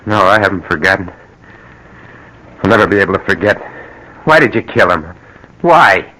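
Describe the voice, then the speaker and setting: An actor playing an anxious, guilt-ridden reluctant accomplice in an old-time radio crime drama, pleading and agitated. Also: male voice, low-pitched, sing-song, normal speed, sharp tone, slight background noise, heavily acted, low-quality recording. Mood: stricken, anxious. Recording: vintage radio drama recording.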